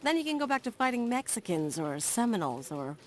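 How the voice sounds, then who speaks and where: A woman playing a brisk, confident young railroad company boss, brusque and self-assured, in a video game cutscene. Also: fairly high pitch, very animated, a little hiss or hum. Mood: curt, defiant.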